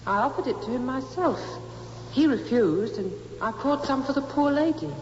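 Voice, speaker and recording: A forthright, echoey housemaid. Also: female voice, high-pitched, expressive, moderate pace, darkish tone. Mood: insistent. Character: forthright young housemaid testifying at an inquest. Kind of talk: old-time radio drama acting. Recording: echoey, muffled, noisy old radio recording.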